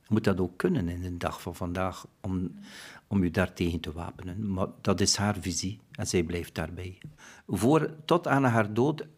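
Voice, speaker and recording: A man, an art collector, explaining in a steady, thoughtful way. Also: deep voice, moderate pace, breathy edge. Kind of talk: casual interview.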